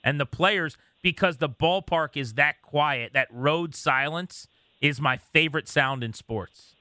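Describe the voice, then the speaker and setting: A man's voice: fairly high pitch, unhurried, sharp tone, smooth, no echo. A man hosting a sports radio show in a casual, conversational talk style.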